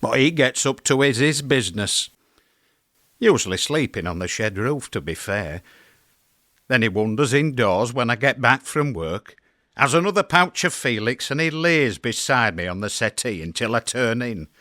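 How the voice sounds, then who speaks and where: Actor as animated, wry British cat owner. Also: male voice, medium pitch, moderate pace, no echo, studio quality. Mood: wry, fond. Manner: wry, conversational storytelling with emphatic swoops. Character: chatty, aggrieved British male cat owner, fondly reminiscing. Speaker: actor performing a comedy monologue. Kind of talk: conversational comic radio monologue.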